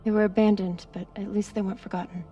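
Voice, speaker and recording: A woman's voice: medium pitch, little pitch movement, fast talker, lo-fi sound, soft background music, natural voice. An actress playing a sympathetic female crewmate in a video game, speaking in an earnest, emotional way.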